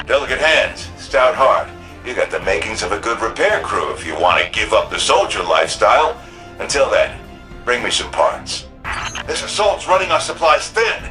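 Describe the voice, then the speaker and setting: A man's voice: medium pitch, bright, forceful tone, poor audio. An actor playing a practical, hard-pressed city repair crew chief in a sci-fi game, blunt and urgent, with a folksy working man's way of talking. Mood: wry, businesslike. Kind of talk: video game quest dialogue.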